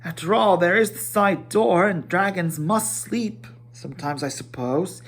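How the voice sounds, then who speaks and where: A man's voice: sits high, unhurried, a bit bright, breathy edge, pitched above the performer's own voice, full character voice. A man voicing a timid, polite little hobbit in a storybook reading, fussy and hesitant, rambling courteously. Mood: flustered, polite.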